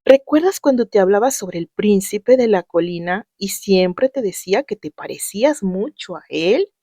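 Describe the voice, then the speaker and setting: A woman with a Mexican accent reading the lines of a young woman's letter in a gentle, measured way. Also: mid-range voice, animated, no room sound, clean studio sound, natural voice. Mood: tender.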